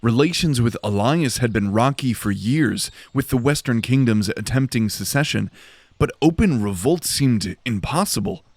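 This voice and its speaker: A man's voice: medium pitch, expressive, normal speed, darkish tone, dry recording. A man giving dramatic scripted narration for a fantasy audio drama.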